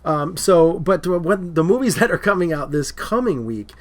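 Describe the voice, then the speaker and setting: A man's voice: a little high, lively intonation, moderate pace. A man co-hosting a casual movie talk show, laughing often and filling with "um", whose voice is starting to go. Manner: laughs often, lots of fillers. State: losing his voice. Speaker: podcast co-host.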